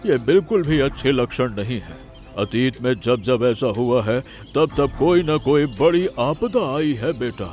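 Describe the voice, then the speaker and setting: A man's voice: medium pitch, lively intonation, measured pace, a little mellow, soft background music, natural voice. A man playing a resigned elderly village father in a cartoon story, speaking in a lamenting, fatalistic way. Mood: grave warning. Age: older. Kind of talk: Hindi moral cartoon story dialogue.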